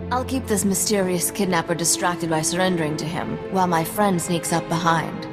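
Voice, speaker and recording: A woman's voice: fairly low pitch, fairly quick, in a large hall, over music, lightly acted. An actress playing a shrewd, self-assured woman mechanic among space smugglers, with a dry, sardonic manner, in a video game. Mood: cool, focused. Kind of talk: video game cutscene acting.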